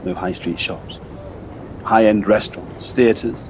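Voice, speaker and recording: A man playing a concerned, reasonable male companion in an audio play, speaking in a considerate, gently questioning way. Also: on the low side, fairly even intonation, takes it slowly. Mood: doubting, gently teasing. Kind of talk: audio play acting, naturalistic dialogue. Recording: dull lo-fi device recording, faint noise.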